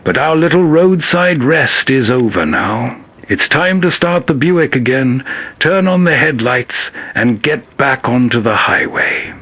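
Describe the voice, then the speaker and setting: A man with a husky, mid-pitched voice hosts the programme. He delivers scripted, atmospheric narration in a storyteller's manner. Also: lively intonation, a little slow, a bit bright, no room sound. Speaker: host narrator.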